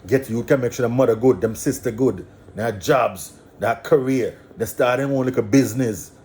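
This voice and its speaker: A man's voice: mid-range voice, normal speed, a little mellow. A man from Antigua with an Antiguan accent, talking his views in a casual, preachy monologue, repeating phrases for emphasis.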